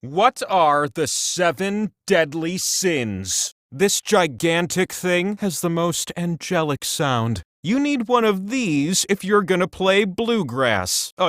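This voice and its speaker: Animated, high-pitched cocky game-show host. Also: male voice, no echo, studio quality, heavily acted. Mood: playful, wry. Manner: theatrical, wry, mock-pompous asides. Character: talking game-show wheel, cocky, playful know-it-all male host. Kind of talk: comic quiz-game hosting.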